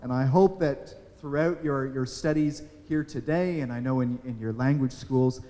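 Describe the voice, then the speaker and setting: A man's voice: medium pitch, moderate pace, mellow. Earnest man speaking in a reverberant hall. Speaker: public official giving a speech. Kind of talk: formal address.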